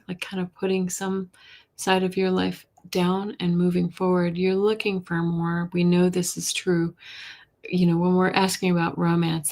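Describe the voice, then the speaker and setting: A woman hosting a live tarot reading, a little hoarse, chatty, with a soft voice that often drops to a whisper. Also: fairly low pitch. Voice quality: soft voice, not loud. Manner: chatty, reads viewer questions aloud, drops to whispers. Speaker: intuitive reader, live stream host.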